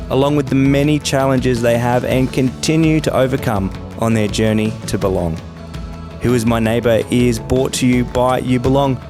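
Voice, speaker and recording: A man hosting a podcast interview, enthusiastic and full of restarts, speaking into a headset mic. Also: medium pitch. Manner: enthusiastic, many restarts and fillers. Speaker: podcast host.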